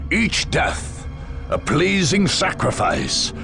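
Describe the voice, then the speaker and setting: A man's voice: fairly high pitch, huge pitch swings, slow pace, gravelly, exaggerated voice. A man narrating a war game as a grave chronicler, solemn, theatrical and emphatic. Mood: grave, ominous. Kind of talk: dramatic scripted game narration. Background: music.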